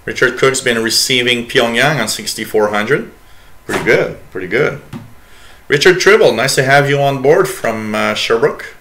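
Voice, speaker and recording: Bright-voiced French-Canadian man, chatty host. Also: mid-range voice. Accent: Quebec French. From Quebec, Canada. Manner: rambling, reads chat messages aloud, many fillers. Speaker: radio show host. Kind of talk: casual live-stream chat.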